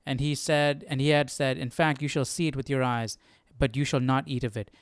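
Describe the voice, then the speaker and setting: A man reading aloud and commenting casually, thinking out loud in informal, slangy words. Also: mid-range voice, fairly even intonation, fairly quick, smooth, dry recording, studio quality. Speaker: host of a live Bible study stream.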